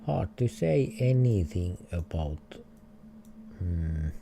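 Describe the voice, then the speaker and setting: A man's voice: low voice, sing-song, takes it slowly, vocal fry, noisy background. A man with a Finnish accent, streaming a geography game and thinking aloud in hesitant, chuckling English. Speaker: livestreamer. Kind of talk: casual game livestream commentary.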